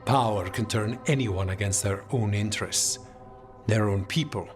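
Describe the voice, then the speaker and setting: A man voicing a composed, calculating assassin leader in a video game, with a measured, formal delivery. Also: on the low side, soft background music, natural voice.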